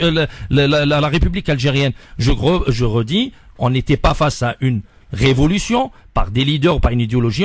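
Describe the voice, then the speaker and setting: Male panel guest, slightly high voice, emphatic, repeats words, dry studio. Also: no echo. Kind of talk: political debate.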